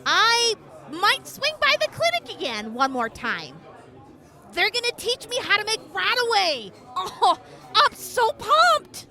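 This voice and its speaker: A woman voicing a snarky young clinic helper with a deadpan, sarcastic way of teasing, in an audio drama. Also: very high-pitched, takes it slowly, heavily acted. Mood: playful.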